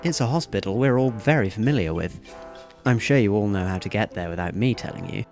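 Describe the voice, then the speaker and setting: A man playing a polite, unflappably cheerful high school boy in an anime-style audio drama, courteous and composed. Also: expressive, a little fast, darkish tone, lightly acted. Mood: obliging, pleasant. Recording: faint music behind the voice.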